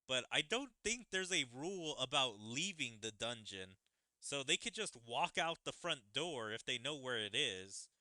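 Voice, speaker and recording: A man's voice: medium pitch, bright, forceful tone, clean tone, no echo. A man giving casual gaming commentary, thinking aloud in a musing way as he follows a story. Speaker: gaming video creator.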